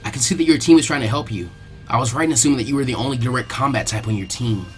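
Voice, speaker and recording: A young masked ninja woman, voiced by a man reading a story, speaks softly and formally with calm resolve. The pitch drops well under the narration, and music plays behind. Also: close to an everyday voice. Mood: calm appraisal. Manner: soft-spoken, measured, formal.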